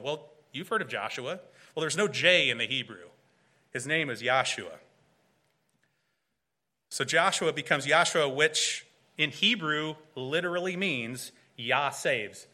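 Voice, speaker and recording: A man with a bright, clear voice delivers a sermon to a congregation. He explains earnestly, with some filler and restarts, and he often poses rhetorical questions. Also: a little high. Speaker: pastor.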